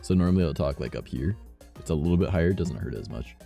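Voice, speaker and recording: A man streaming a game, chatting casually and swearing a lot, his voice rough today, swinging between low mumbles and loud bursts. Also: expressive, quick pace, soft background music.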